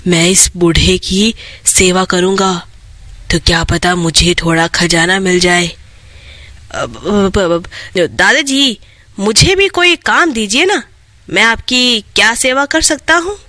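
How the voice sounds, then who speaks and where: A storyteller acting out a bright-voiced, greedy schemer. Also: mid-range voice, animated, slow, dry recording, pitched below the performer's own voice. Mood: scheming. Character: greedy, impatient young man Sohan, scheming and scornful.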